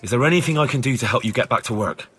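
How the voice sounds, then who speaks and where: A man's voice: mid-range voice, lively intonation, racing, a bit bright, husky. A man acting a plain-spoken young investigator in a video game, dogged and a little wry. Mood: insistent.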